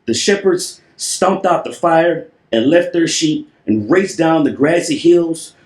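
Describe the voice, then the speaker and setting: A man tells a children's story at an unhurried pace in an echoing room. His delivery is playful and theatrical, with drawn-out words and repeated phrases. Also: fairly high pitch, lively intonation, a little mellow. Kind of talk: animated storybook read-aloud with playful asides. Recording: roomy, echoing voice recording.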